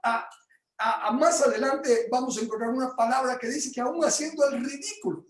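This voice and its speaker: A man teaching his home group about praise in a casual but animated way, jumping into loud exclamations and stumbling over fillers. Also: extremely high pitch, normal speed, reverberant. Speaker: Bible teacher.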